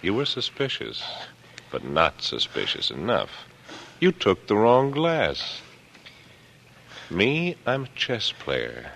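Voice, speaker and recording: An actor playing a smug, calculating middle-aged killer in an old American radio drama, speaking in a taunting, deliberate, condescending way. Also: male voice, lowish voice, huge pitch swings, vocal fry, a bit bright, heavily acted. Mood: gloating menace. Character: coolly menacing older killer, smug, patronizing and calculating. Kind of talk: 1940s radio drama acting. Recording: noisy vintage radio broadcast recording.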